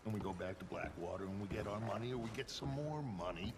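An actor playing a charismatic, middle-aged American outlaw gang leader with a persuasive, oratorical manner in a video game. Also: male voice, fairly low pitch, lively intonation, fast, background noise. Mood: reassuring, persuasive. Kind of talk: dramatic video game acting.

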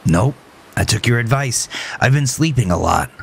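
A man voicing a polite, uneasy patient who speaks haltingly in a horror story. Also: medium pitch, lively intonation, creaky, a little hiss or hum, voice pushed up. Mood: uneasy, guarded.

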